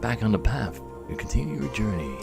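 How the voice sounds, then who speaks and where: A man with a fairly low voice that often drops into fry, swinging widely in pitch as he commentates a game on a livestream. He shifts between muttered asides and dramatic read-aloud, with music playing behind. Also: big pitch swings, a little fast. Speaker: live streamer. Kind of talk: gameplay commentary, reading game text aloud.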